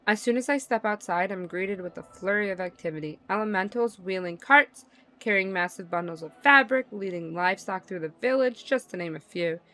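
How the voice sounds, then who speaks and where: A woman's voice: medium pitch, a little fast, bright, forceful tone. A woman livestreamer reading visual novel narration aloud and reacting in a playful, slangy way. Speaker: livestream gamer.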